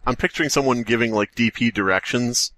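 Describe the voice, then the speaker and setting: A man's voice: mid-range voice, brisk, a bit bright, no room sound. A man co-hosting a podcast, reading horror fiction aloud and breaking off to joke. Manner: jokey, breaks off reading to riff. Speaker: podcast co-host.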